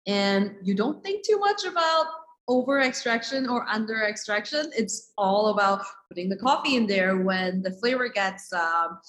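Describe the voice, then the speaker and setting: A woman's voice: medium pitch, animated, normal speed, hardly any pauses, a bit bright, low-quality recording. A woman from Malaysia explaining things conversationally as a guest in a casual interview. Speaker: guest, coffee company co-owner.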